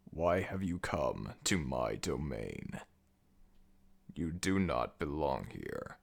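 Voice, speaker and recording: A man acts out an ancient jackal god ruling the underworld in an audio roleplay. The voice is very low and gravelly, with slow, imperious delivery. He is stern, deliberate and menacing. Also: a bit bright, exaggerated voice. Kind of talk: ASMR audio roleplay acting.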